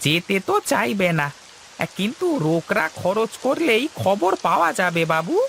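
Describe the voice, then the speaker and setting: Cartoon casting: greedy manservant, played by a woman, gravelly and swooping, fawning schemer, faint rain behind. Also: medium pitch, very animated, moderate pace, fairly bright tone, a little hiss or hum. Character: sly, greedy, boastful male servant of a landlord. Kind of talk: cartoon dialogue.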